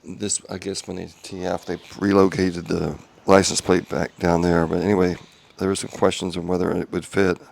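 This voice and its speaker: Quiet, flat-voiced man with gravelly lows. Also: normal speed, hardly any pauses. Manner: quiet monotone talk with many ums and restarts. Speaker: home car restorer. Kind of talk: casual workshop update video. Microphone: small clip-on wireless mic.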